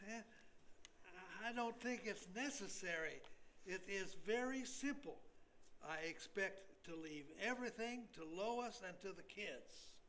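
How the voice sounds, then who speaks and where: A woman playing a folksy, bemused hospital patient in an amateur staged reading, halting and stammering as she rambles along. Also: mid-range voice, animated, a bit bright, slight background noise. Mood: hesitant.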